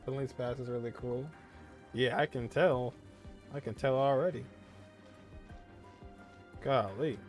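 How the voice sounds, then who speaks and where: A man's voice: medium pitch, steady tone, measured pace, long pauses, music in the background. A man giving casual, slangy commentary as he plays a video game. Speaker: game streamer.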